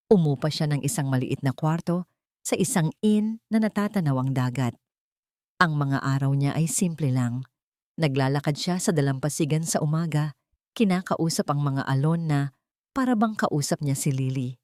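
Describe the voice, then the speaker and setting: A woman narrating a scripted audiobook drama in a measured, emotional way. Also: deep voice, darkish tone, no echo, clean studio sound.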